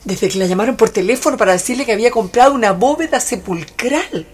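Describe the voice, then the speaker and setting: An actress playing an anxious, devoted friend in a radio drama, emotive and worried, with a Chilean accent. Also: female voice, a little high, expressive, fast talker, breathy edge. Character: anxious, devoted female friend of a bewitched woman.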